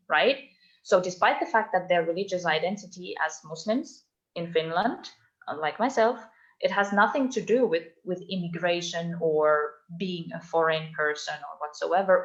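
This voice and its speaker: A woman giving an online talk about her research, thoughtful and full of ums and restarts, heard over a video call. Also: on the low side. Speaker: invited speaker, doctoral researcher. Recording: dull lo-fi online call audio.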